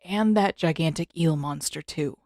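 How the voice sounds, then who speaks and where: A woman voicing a gruff, unimpressed teenage boy with a grumbling, understated delivery. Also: low-pitched, normal speed, a little mellow, no room sound, clean studio sound, pitched below the performer's own voice.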